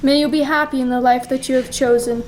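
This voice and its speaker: Woman as high-voiced, sorrowful young fiancée. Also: steady tone, darkish tone, a little hiss or hum, lightly acted, low-quality recording. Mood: sad resolve. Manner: earnest, formal, deliberate. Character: sorrowful, principled young fiancée releasing her betrothed. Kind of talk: radio play acting.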